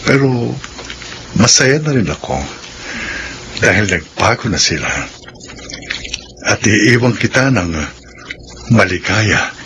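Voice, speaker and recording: A wistful man saying goodbye to the woman he loved, in an old radio play. His voice sits very low, and clear hiss runs under the recording. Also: sing-song, takes it slowly, long pauses, sharp tone, full character voice. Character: gentle lovelorn male friend, quiet and sad. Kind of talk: classic radio drama acting.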